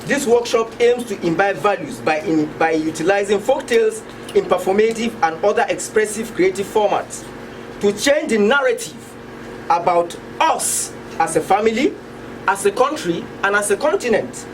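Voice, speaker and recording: A woman's voice: medium pitch, animated, normal speed. Nigerian woman presenting, distant and noisy. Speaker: project presenter. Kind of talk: scripted introduction speech. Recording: noisy room, distant microphone.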